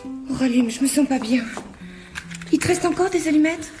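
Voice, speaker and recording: A woman's voice: sits high, normal speed, music in the background, natural voice. An actress playing a timid, scatterbrained woman of twenty-two in a radio mystery drama, with an anxious, pleading, girlish manner. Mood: frightened. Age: young adult.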